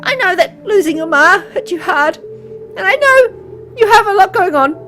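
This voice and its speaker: An exasperated young girlfriend pleads in a raised, high voice , acted by a woman. Her delivery is emotional and emphatic, in visual novel drama style. Music is clearly audible underneath. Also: lively intonation, moderate pace, bright, forceful tone, full character voice. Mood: pleading. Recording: music behind the voice.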